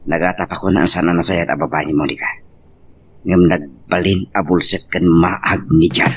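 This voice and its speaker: A man acts out a troubled, resigned villager in an Ilocano audio love story. His voice is deep, and he speaks earnestly and quietly, with concern. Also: fairly even intonation, moderate pace, a little hiss or hum, close to an everyday voice. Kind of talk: Ilocano radio-style love drama acting.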